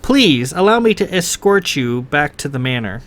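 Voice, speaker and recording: A man's voice: on the high side, big pitch swings, normal speed, a bit bright. A man voicing a flustered, apologetic young nobleman who stammers politely and is a little out of breath.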